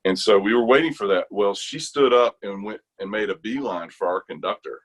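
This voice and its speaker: A middle-aged American man telling stories casually in conversation, animated and colloquial. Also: on the low side, a little fast. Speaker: interview guest, retired army chorus bass singer.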